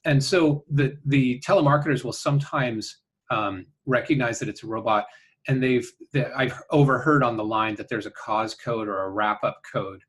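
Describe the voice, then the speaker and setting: Male interview guest, mid-pitched voice, conversational and sincere, halting delivery with frequent check-in tags. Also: a bit bright. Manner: earnest, halting, often checking with "right?". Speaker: interview guest, company founder.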